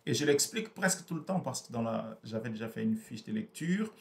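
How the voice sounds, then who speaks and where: A man's voice: medium pitch, lively intonation, brisk, vocal fry. A man whose first language is Portuguese, explaining a book in a hesitant, repetitive way with many fillers. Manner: hesitant, repeats words, frequent fillers. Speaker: book reviewer. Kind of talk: explanatory book review talk.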